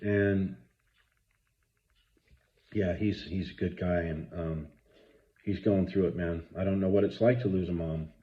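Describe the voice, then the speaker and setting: A man's voice: low-pitched, steady tone, a little slow, pauses often, muffled recording. A man, talking earnestly and rambling with many fillers in a casual spoken reflection. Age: 50s. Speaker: vlogger.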